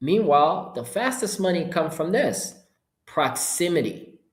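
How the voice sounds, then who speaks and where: A middle-aged man from Haiti, a Creole speaker, gives an informal, upbeat motivational webinar pitch in short punchy phrases. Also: very animated, measured pace. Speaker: entrepreneur hosting an online presentation. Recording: roomy, echoing sound.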